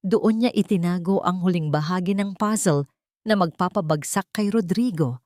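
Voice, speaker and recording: A devoted middle-aged lawyer, played by a woman, earnest and determined in an audio drama. He speaks with solemn reassurance in a low-set, close and dry voice, moving between English and Tagalog. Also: normal speed, darkish tone, no echo, lightly acted. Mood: calm, determined.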